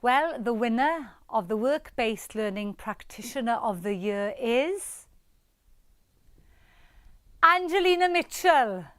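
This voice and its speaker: Bubbly high-voiced woman host. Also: expressive, slow pace, pauses often, a bit bright, clean tone, no echo, studio quality. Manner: bubbly, gushing, exclamatory. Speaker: awards ceremony host. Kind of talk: live awards show hosting and interview.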